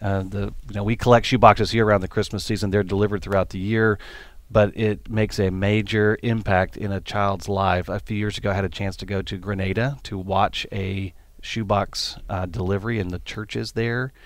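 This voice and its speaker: A man's voice: on the low side, moderate pace, dry recording. A man with a South Texas accent, a pastor talking earnestly on a radio show, with many ums and uhs. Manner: earnest, steady, filler-heavy. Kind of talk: interview explanation.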